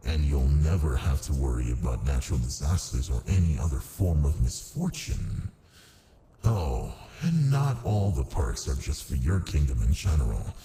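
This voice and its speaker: A man playing a massive ancient male demon in an ASMR roleplay, smug and amused, with a smooth, politely menacing, domineering way of talking. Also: a bass voice, sing-song, moderate pace, warm tone, exaggerated voice. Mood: teasing, coaxing. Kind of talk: ASMR roleplay, close and unhurried.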